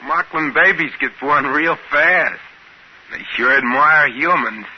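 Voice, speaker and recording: A man's voice: a little high, slow pace, slightly rough. An American actor playing a folksy, easygoing male space trader in a 1950s radio drama. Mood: folksy, musing. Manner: folksy, colloquial. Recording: dull band-limited 1950s radio recording, music behind.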